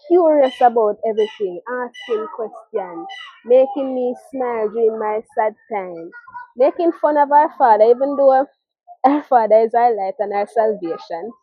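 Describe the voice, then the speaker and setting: Through thin, phone-like audio, a young woman with a Caribbean accent tells her own story. Her voice sits a little high and is somewhat husky. She speaks earnestly and haltingly, circling back over phrases as she goes. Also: no echo. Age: young adult. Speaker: mother telling her life story. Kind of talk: personal testimony. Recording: muffled, narrow phone-like audio.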